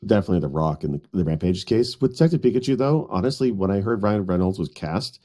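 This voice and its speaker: A man's voice: low voice, expressive, fast, dark tone. A man chatting casually about movies as a podcast panelist.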